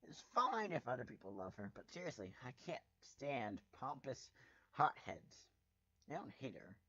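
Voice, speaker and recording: A man reading fan fiction aloud as a dramatic first-person narrator, with a wry, expressive delivery. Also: medium pitch, normal speed, slightly rough, no echo, highs cut off.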